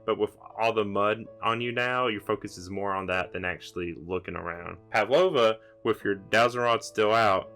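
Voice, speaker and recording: A man's voice: on the low side, fairly bright tone. A man narrating a tabletop roleplaying game as game master, explaining things in an improvised way.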